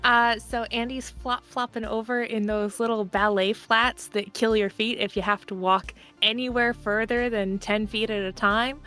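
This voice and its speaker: A woman narrates as a player in a tabletop roleplaying game. Her voice is high and bright, her style casual and improvised. Light music runs underneath. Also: level tone, normal speed, hardly any pauses.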